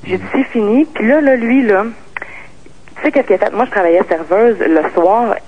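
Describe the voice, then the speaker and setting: A woman's voice: medium pitch, expressive, moderate pace. A woman from Quebec with a Québécois French accent calling in to a radio show, rambling and repeating herself. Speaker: caller. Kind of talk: call-in radio talk. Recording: muffled phone line with faint noise.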